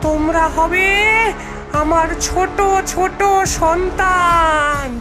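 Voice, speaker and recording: An evil witch from a horror cartoon, her voice pitched extremely high. She drags her words out very slowly with theatrical, sinisterly motherly menace, gloating and hungry, over clear background music. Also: steady tone, full character voice. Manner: slow, drawn-out, theatrical menace with cackling.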